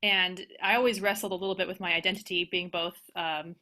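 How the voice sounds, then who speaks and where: An American woman speaking casually as a panelist on a video call, with halting, reflective phrasing. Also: lowish voice, steady tone, bright, forceful tone. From United States. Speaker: panelist, organist and composer.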